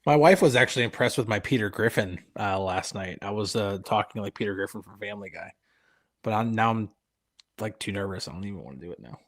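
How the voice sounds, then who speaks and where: A man's voice: on the low side, animated, fast. A man co-hosting a live sports podcast, agreeing easily and breaking down coaching options. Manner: agreeable, easygoing, analytical. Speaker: podcast co-host. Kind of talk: live podcast chat and football analysis.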